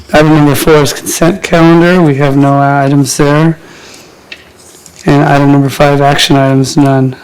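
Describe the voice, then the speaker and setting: A man's voice: on the high side, clean tone, low-quality recording. A man chairing a city advisory committee meeting, his voice weakened by a cold, speaking in a procedural way with some hesitation. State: recovering from a cold, voice weak. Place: council chamber.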